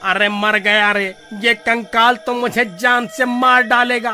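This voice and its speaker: A man voicing an excitable, whiny village man in a horror cartoon. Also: very high voice, measured pace, heavily acted. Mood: desperate pleading. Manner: whiny, exclaiming, agitated. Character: panicky, irritable village man, pleading and excitable. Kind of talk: animated story acting. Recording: faint music behind the voice.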